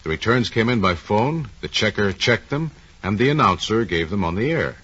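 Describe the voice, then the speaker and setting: A man's voice: fairly low pitch, expressive, normal speed, a bit bright, slightly rough. A man playing a curious, attentive interviewer, politely prompting his guest in a scripted 1940s radio programme. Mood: curious, impressed. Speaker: host. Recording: dull, lo-fi 1940s radio recording.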